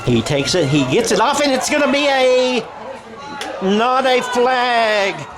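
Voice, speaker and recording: A man's voice: very high-pitched, expressive, takes it slowly, a crowd in the background. A man doing radio-style football play-by-play outdoors, with rambling, self-correcting delivery and folksy asides. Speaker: play-by-play announcer. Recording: clear outdoor stadium background noise.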